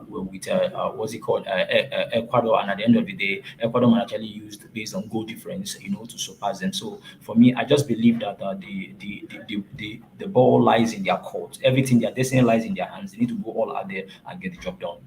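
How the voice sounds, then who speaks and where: A man from Africa giving unscripted football analysis as a pundit, halting and repeating words as he searches. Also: on the low side, fairly even intonation, darkish tone, a little hiss or hum, rough recording.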